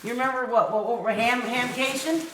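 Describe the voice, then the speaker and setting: A woman's voice: a little high, brisk, a bit bright. A woman chatting casually as the host's partner, recorded in a roomy space. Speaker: host's partner, off-the-cuff helper. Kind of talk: casual chat. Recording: roomy, faint background noise.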